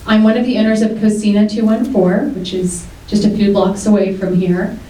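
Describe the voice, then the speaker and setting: A woman whose first language was Greek gives a personal opening statement at a local debate, using plenty of filler sounds. Her voice is mellow and dark-toned. She sounds far from the mic in an echoey room. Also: medium pitch, little pitch movement, moderate pace. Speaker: city commission candidate.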